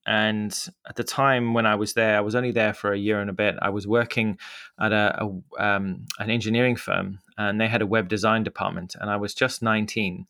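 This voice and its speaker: Low-voiced Zimbabwean man, reflective. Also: bright, forceful tone, no echo, studio quality. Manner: reflective, rambling with many fillers and restarts. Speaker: podcast guest, media co-founder. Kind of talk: casual conversational interview.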